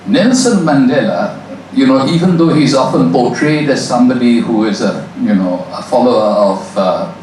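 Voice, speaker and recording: A male professor giving a measured, emphatic academic answer to an audience in a lecture room. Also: medium pitch, a little mellow. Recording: echoey hall, distant mic, faint noise.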